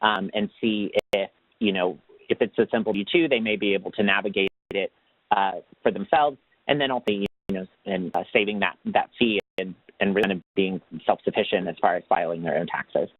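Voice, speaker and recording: A man's voice: low voice, fairly quick, no echo. A man giving a casual, loosely rambling explanation as a program manager on a webinar, over a phone-like connection. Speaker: tax assistance program manager. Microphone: phone or web conference call. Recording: dry, narrow phone-line sound.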